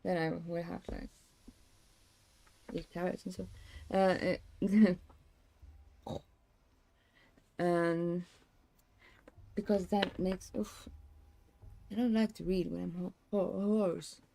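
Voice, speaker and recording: A young woman, hoarse today, chatting in a rambling, casual way with many fillers and restarts. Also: lowish voice, fairly even intonation, takes it slowly, pauses often, dark tone. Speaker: streamer. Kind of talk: casual chat.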